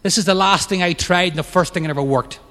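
Fast-talking Northern Irish man in a hall. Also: sits high, bright, forceful tone, slight background noise. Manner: fast-talking, self-mocking humor. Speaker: main speaker at a recovery meeting. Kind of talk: personal recovery talk.

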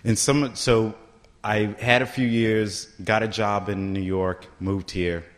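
Middle-aged man, lowish voice, casual storyteller speaking to a meeting, conversational and direct. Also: little pitch movement, slow. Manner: conversational, keeps asking "right?" to the room. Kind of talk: personal story told to a meeting.

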